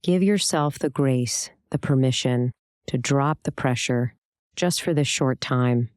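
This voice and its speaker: Mellow, smooth meditation guide. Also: mid-range voice, no room sound, studio quality. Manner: calm, soothing, gentle delivery with long pauses.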